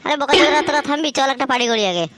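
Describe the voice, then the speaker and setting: Fast-talking, high-pitched rowdy cartoon youngster, voiced by one performer. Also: heavy background noise, close to an everyday voice. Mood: teasing. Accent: Bengali. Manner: teasing, slangy, swearing banter. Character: foul-mouthed rowdy young Bengali friends planning a party. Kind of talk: comic cartoon dubbing.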